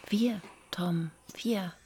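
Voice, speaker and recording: An actress playing a worried, teasing woman confronting her partner, in hushed, intimate radio play dialogue. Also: mid-range voice, extremely slow, a little hiss or hum. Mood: reassuring.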